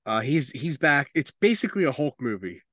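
A man's voice: medium pitch, very animated, brisk, a bit bright, dry recording, clean studio sound. A man hosting a casual movie podcast, talking informally and bluntly, with strong opinions and plenty of swearing. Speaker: podcast host.

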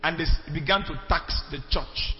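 Man teaching, high and echoey. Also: moderate pace. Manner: animated, checks in with the class. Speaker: church history teacher. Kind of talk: animated classroom lecture with call-and-response. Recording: echoey hall, dull sound, faint music.

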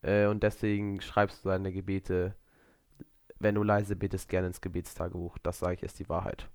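A man's voice: sits low, level tone, moderate pace, gravelly, no echo. Male podcast co-host, joking and thinking aloud, resonant, dry booth. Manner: joking, reasoning aloud while guessing. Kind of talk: casual podcast chat.

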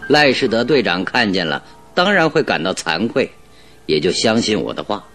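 A man's voice: on the low side, very animated, moderate pace, a bit bright, faint music behind. A man acting in a radio drama as a confident, teasing master detective, with a theatrical, self-assured delivery. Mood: amused, teasing.

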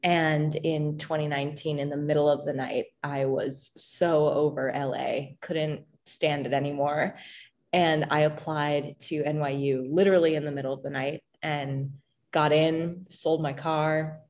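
A woman's voice: low voice, little pitch movement, unhurried, a little mellow. A woman from New York City, a musical theater writer, chatting casually in an interview and often laughing at herself. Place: home, on a video call.

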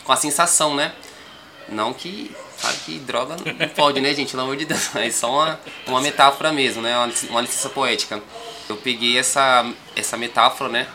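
A young man with a São Paulo accent, a rapper guest chatting calmly in a podcast interview, rambling with frequent tag questions. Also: medium pitch, a little fast, background noise. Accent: São Paulo Portuguese. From Brazil.

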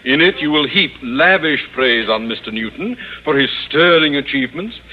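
An actor playing a pompous, self-serving middle-aged school principal in 1950s radio comedy style. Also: male voice, a little high, lively intonation, moderate pace, lightly acted. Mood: pompous, commanding. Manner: pompous, fawning to superiors, overbearing to subordinates. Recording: muffled, noisy old radio recording.

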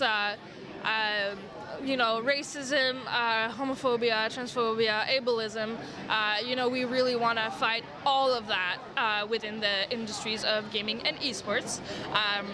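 A woman from Montreal whose first language is French, speaking as a guest in a convention interview, earnest and full of fillers. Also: fairly high pitch. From Montreal, Canada. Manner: many fillers, earnest and eager. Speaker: guest from a gaming organization. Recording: crowd noise behind.

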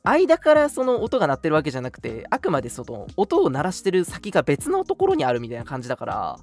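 A man's voice: on the high side, huge pitch swings, quick pace. A young man co-hosting a casual radio talk show, explaining things in a conversational way. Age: young adult. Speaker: radio show host from an audio drama studio. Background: background music.

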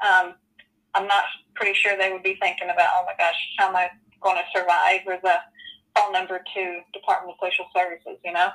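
A woman in her forties telling her personal story as an interview guest, earnest and halting, with many ums and you-knows. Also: fairly low pitch, little pitch movement, a bit bright, poor audio. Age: 40s.

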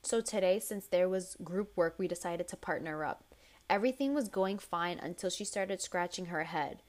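A young woman hosting a casual advice podcast, blunt and chatty, with a tired, raspy voice as she reads listener stories aloud. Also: on the low side, normal speed. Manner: blunt, chatty, swears freely. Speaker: podcast host.

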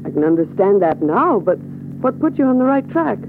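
A woman's voice: mid-range voice, big pitch swings, a little fast, vocal fry. An actress playing a cool, composed woman client with an American accent and a teasing streak, in an old radio detective drama. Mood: grateful, curious. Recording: noisy muffled old radio recording.

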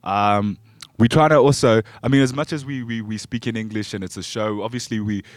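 Halting low-voiced male radio host. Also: steady tone, no echo. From Zambia. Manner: halting, repeats words often. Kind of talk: casual radio chat. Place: radio studio.

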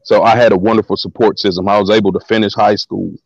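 A man with a deep voice, a guest on an interview, telling stories in a relaxed, slangy way with lots of repeated words. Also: fast talker, creaky, slightly rough, no echo, dull audio. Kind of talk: casual storytelling interview.

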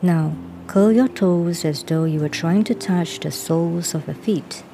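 A woman reading a guided body-scan relaxation exercise aloud. She gives calm, even instructions and reads out each pause cue. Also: on the low side, slight background noise. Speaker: reader of a self-help workbook. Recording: voice laid over music. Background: clear background music.

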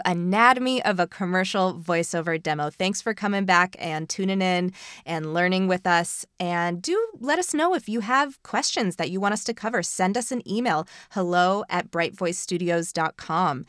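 A woman's voice: mid-range voice, fairly bright tone, clear, resonant tone, no echo, clean studio sound. A woman hosting a podcast, giving instructive, explanatory advice. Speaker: podcast host.

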